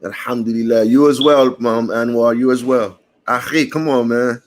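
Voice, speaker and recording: A man, chatting casually to passersby and viewers in a relaxed morning vlog, calling everyone brother and man. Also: medium pitch, moderate pace. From Puerto Rican family. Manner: laid-back, slangy, calls people brother and man. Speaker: vlogger.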